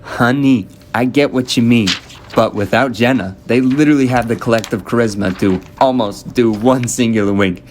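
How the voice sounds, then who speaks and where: A man's voice: normal speed, natural voice. A man playing a sassy, gossipy, catty teenage debater in an amateur radio drama, chatty in his delivery. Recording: clear background noise with low rumble.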